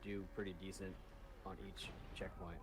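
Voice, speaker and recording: A man's voice: low-pitched, flat delivery, moderate pace, a loud crowd. An American man chatting casually while playing a video game online. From United States. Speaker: online gamer. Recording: loud game gunfire and noise behind. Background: video game gunfire and effects.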